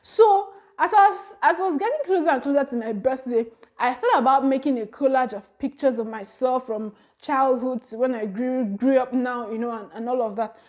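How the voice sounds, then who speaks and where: Nigerian woman, 30s, high voice, chatty vlogger who laughs a lot and exclaims. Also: normal speed, hardly any pauses, a little mellow. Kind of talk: casual talk to camera.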